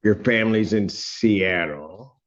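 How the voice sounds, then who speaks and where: A low-voiced male judge banters from the bench, dry and teasing as he ribs the attorneys. The recording is a bit muffled, like courtroom audio pulled from a broadcast feed. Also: slow pace. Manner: teasing, joking, wry.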